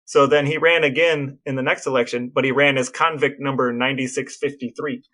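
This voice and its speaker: A man's voice: medium pitch, steady tone, fairly quick, pushed and bright. Man casually recounting historical anecdotes. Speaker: podcast co-host.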